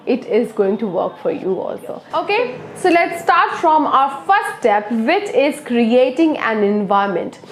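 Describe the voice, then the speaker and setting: A woman's voice: high voice, a little hiss or hum. Earnest Indian woman coaxing learners. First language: Hindi. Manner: earnest, coaxing, frequent "okay?" checks. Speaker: English teacher. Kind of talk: motivational video lesson. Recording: echoey room.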